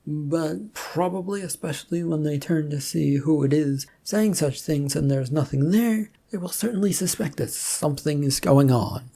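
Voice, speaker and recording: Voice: mid-range voice, moderate pace, creaky, few pauses, warm tone, husky. A narrator playing a put-upon male office IT technician who explains things hesitantly in a story reading. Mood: flustered.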